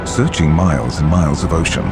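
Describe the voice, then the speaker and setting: A man reading scripted historical documentary narration in a measured, formal way, as the narrator. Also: extremely low pitch, loud music behind the voice.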